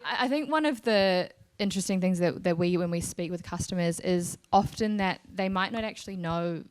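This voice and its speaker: Lower-pitched woman moderating a panel. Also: normal speed, a little mellow, clear, resonant tone. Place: live event in front of an audience.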